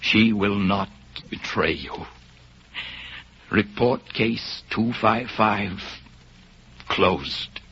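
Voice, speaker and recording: An actor playing a suave, fatalistic spy in a 1930s radio drama, with mocking, romantic, measured theatrical delivery. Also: male voice, on the low side, a bit bright, husky, exaggerated voice. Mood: weak, resolute. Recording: noisy, muffled vintage radio recording.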